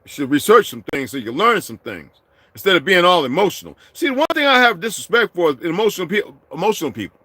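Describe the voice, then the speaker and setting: A middle-aged man delivering a heated, profane vlog rant, swinging from low muttering to shouting. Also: big pitch swings, fast talker, husky, no echo. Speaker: vlogger. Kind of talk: profane ranting monologue.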